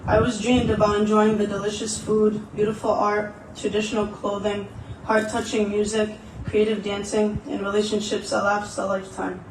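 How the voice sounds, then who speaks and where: A man's voice: sits high, completely flat delivery, normal speed. A man giving a solemn, earnest prepared speech at a candlelit vigil, recorded from a distance. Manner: earnest, solemn, repetitive phrasing. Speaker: vigil speaker. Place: gathering indoors, recorded from afar. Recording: echoey, distant, noisy event audio.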